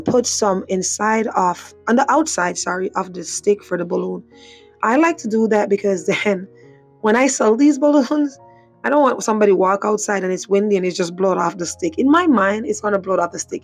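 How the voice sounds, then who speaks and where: A woman whose voice is worn hoarse talks through a home craft tutorial in a loose, chatty way. She circles back with "so" and "again" as she explains each step. Faint background music plays beneath her. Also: mid-range voice. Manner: chatty, repeats herself, frequent "so" and "again". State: hoarse, voice mostly gone. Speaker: craft channel host. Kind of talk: casual tutorial voice-over.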